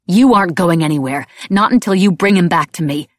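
A woman playing a fierce young witch in an audiobook, speaking sharply and forcefully with angry threats. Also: fairly low pitch, fairly quick, no room sound, studio quality, natural voice. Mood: fierce, accusing. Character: furious young witch, fierce and protective of her mate.

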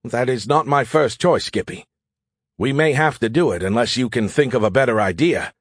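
A man's voice: mid-range voice, normal speed, breathy edge, no echo, studio quality, lightly acted. A man voicing a resolute, wry male starship commander in audiobook dialogue, plain-spoken.

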